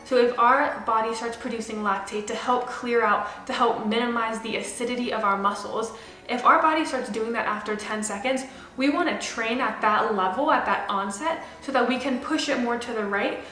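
Woman in her twenties, fairly high voice, casual direct fitness coach, echoey small room. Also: fairly bright tone. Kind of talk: explanatory talking-head fitness advice to camera. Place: small bare room indoors with a window. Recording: roomy indoor echo, music underneath. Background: background music.

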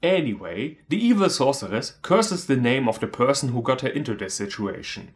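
A man narrates a comedic film review. His medium-pitched voice moves up and down a lot, with a sarcastic, irreverent edge. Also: sing-song, normal speed. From Germany. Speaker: movie reviewer, video host. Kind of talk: scripted comedic movie recap narration.

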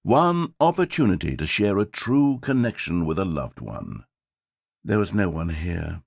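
A man's voice: deep voice, creaky, no echo, full character voice. A man voicing a sardonic, theatrical game narrator, recorded in a studio. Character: sardonic, theatrical male storyteller.

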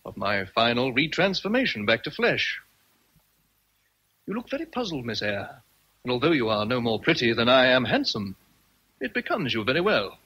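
An actor playing an imperious, teasing English gentleman with commanding, formal speech in a radio drama. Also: medium pitch, sing-song, normal speed, breathy edge, heavily acted, rough recording. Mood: wry, expansive. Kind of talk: vintage radio drama acting.

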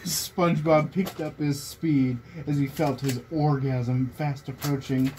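A man's voice: a little high, fairly even intonation, measured pace, a little mellow. A young man reading fan fiction aloud to friends, prone to breaking into laughter, recorded in a small bedroom. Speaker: reader among friends. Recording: noisy casual room recording.

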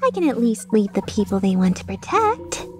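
A woman's voice: medium pitch, sing-song, normal speed, a little mellow, faint music behind, natural voice. A woman voicing a playful, taunting young mercenary villain in a game fan dub, sardonic and mock-sincere. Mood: sly, sardonic.

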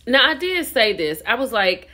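A woman's voice: fairly high pitch, very animated, normal speed, sharp tone. A woman in her thirties with an American accent, giving a casual, conversational and opinionated online recap with emphatic key words. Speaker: online gossip commentator. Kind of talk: casual vlog recap and commentary.

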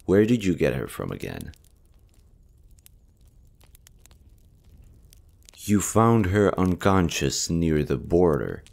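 Man acting a very deep-voiced, tender army general. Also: creaky, exaggerated voice. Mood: composed authority. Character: kind, earnest young male army general, secretly fond. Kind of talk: romantic audio roleplay acting.

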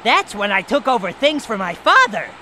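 A man's voice: sits high, very animated, normal speed, bright, forceful tone, slight background noise, full character voice. A man voicing a cocky, hot-tempered young hawk who leads a band of thieves in a video game cutscene. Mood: matter-of-fact. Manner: arrogant, impatient. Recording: music behind the voice.

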